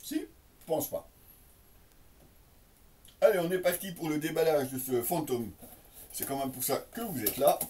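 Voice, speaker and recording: A man with a somewhat high voice gives a casual product demonstration. He explains things off the cuff, with some hesitation, talking straight to his viewers. He sounds slightly distant from the microphone. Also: unhurried, pauses often, darkish tone, slight background noise. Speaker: product reviewer and presenter.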